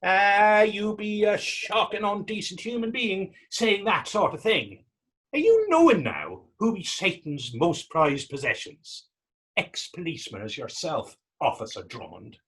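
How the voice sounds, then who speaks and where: A man's voice: sits high, sing-song, unhurried, fairly bright tone, slightly rough, exaggerated voice. A man in a readers theater drama playing a defiant young Irish street vagrant, taunting and sarcastic, with an Irish accent. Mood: needling. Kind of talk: readers theater stage acting.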